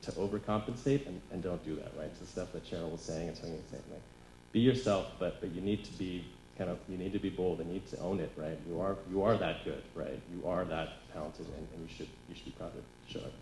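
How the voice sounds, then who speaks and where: A man's voice: deep voice, quick pace, creaky, a little mellow, echoey room, slight background noise, rough recording. A man speaking as a panelist in a panel discussion, emphatic and rhetorical, checking in with the crowd with "right?". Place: lecture hall.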